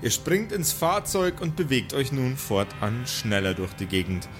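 A man's voice: mid-range voice, unhurried. A man narrating an improvised tabletop game as game master, in a playful, colloquial way. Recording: music behind the voice. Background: music.

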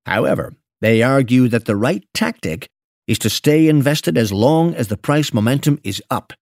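A man narrating a written financial piece aloud in a measured, articulate and deliberate way. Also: mid-range voice, a little mellow, no echo, clean studio sound. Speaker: professional narrator reading an investment memo. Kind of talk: scripted narration, read aloud.

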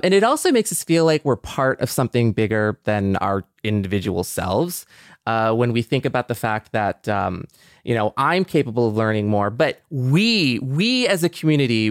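Measured American man on a dry-sounding podcast. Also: mid-range voice, no room sound. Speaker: podcast co-host. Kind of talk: podcast discussion.

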